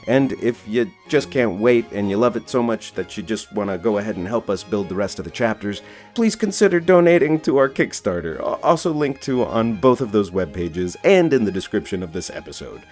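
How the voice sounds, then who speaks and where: A man's voice: fairly low pitch, a little mellow. A man hosting a podcast, moving between introductions and casual conversation. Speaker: podcast host. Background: music behind the voice.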